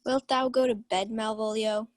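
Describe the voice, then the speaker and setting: A woman's voice: a little high, fairly even intonation, moderate pace, no room sound, studio quality, close to an everyday voice. A woman playing a proud, lovestruck young lady of the house in a Shakespeare radio play, formal and declamatory. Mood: puzzled, commanding. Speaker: student actor.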